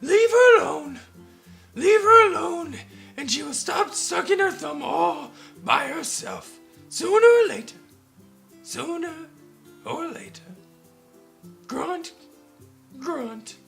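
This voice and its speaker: Man putting on a high grunting old granny pig. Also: expressive, takes it slowly, creaky, pauses often, fairly bright tone, soft background music, full character voice. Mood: knowing.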